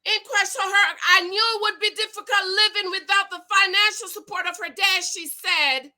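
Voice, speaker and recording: A woman giving casual commentary as she reads and reacts to a news story, with a loud, excitable voice that carries. She talks in an animated, preachy way, with emphatic repetition and a Pentecostal preacher's energy. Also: extremely high pitch, normal speed. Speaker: YouTube commentator on money and marriage.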